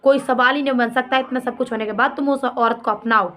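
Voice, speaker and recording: A woman's voice: sits high, steady tone, a little fast, bright, forceful tone. A woman vlogger giving an unscripted, opinionated rant, indignant and scolding, pressing her points with rhetorical questions and repetition. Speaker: vlogger commenting on a personal drama. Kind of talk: unscripted opinionated rant addressed to absent people.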